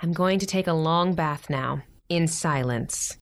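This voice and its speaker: A woman's voice: low voice, unhurried. An actress playing a firm, principled middle-aged mother in a modern audio drama, articulate, authoritative and dry. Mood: dryly stern.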